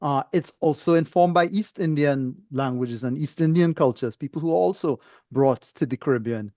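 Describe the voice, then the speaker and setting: A man's voice: a little high, moderate pace, warm tone, no echo, muffled recording. A man from Trinidad with a Trinidadian accent speaks as an interview guest in a thoughtful, discursive, conversational way. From Trinidad and Tobago.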